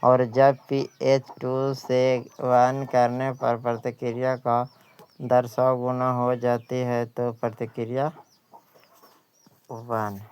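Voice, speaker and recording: A man with an Indian accent teaching an exam-prep lesson, reading out questions and answers haltingly and repeating phrases. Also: medium pitch, monotone, darkish tone, clear, resonant tone.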